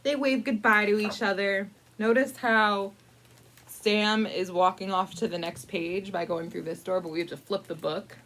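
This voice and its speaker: A woman's voice: medium pitch, steady tone, a bit bright, a little hiss or hum, rough recording. A woman narrating a picture book in a casual, improvised storytime style, with playful, wry asides and thinking aloud as she goes. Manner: playful, wry asides with mock-dramatic commitment; conversational, frequently pausing to think aloud. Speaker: library storyteller reading a picture book to adults.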